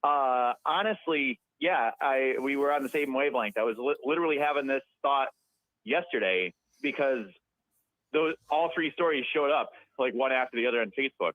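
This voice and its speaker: A man's voice: mid-range voice, moderate pace, fairly bright tone, dry recording. A man calling in to a radio talk show, talking in a chatty, rambling way and laughing often. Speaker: caller. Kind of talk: phone-in conversation. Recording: tinny dry phone line.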